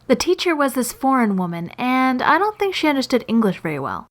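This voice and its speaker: A woman's voice: high voice, sing-song, a little fast. A woman narrating scripted comedic stories in a wry, sarcastic, exaggerating way. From Canada. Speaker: storyteller and animator.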